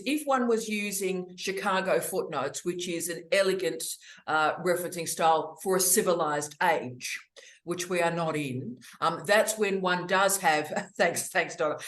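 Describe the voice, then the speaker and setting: Aussie woman host, chatty and opinionated, lowish voice, echoey room on a video call. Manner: witty, theatrical asides, warm greetings. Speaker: academic hosting online office hours. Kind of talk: lively, opinionated casual chat. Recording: roomy echo on a video call.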